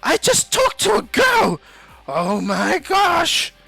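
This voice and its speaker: Casting: man as nervous excitable teenage boy for anime fan story, high and bright, flustered politeness. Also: unhurried, dry recording, soft background music, exaggerated voice. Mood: flustered, thrilled. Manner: hesitant, gushing.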